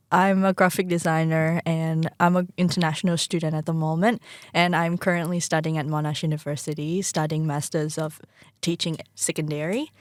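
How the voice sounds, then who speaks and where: Young woman from Thailand, low voice, chatty guest, dry close recording. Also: dry recording. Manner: chatty, many fillers, laughs. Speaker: guest, graphic designer and student. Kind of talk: casual interview chat.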